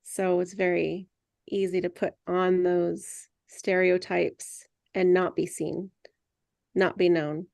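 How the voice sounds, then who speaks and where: A woman's voice: fairly low pitch, little pitch movement, slow, creaky, darkish tone, no echo, studio quality. A woman sharing her thoughts casually and reflectively in a group discussion.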